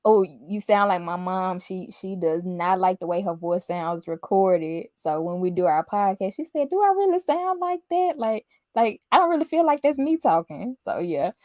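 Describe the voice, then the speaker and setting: A soft-spoken woman hosting a podcast, chatting casually and laughing often. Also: mid-range voice, animated, moderate pace, hardly any pauses, no room sound, studio quality. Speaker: podcast host. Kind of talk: casual chat.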